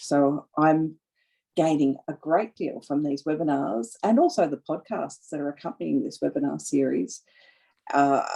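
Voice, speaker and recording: Woman, webinar facilitator, deep voice, gravelly, husky, measured with hesitations. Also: warm tone. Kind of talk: panel moderation. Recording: dull lo-fi audio.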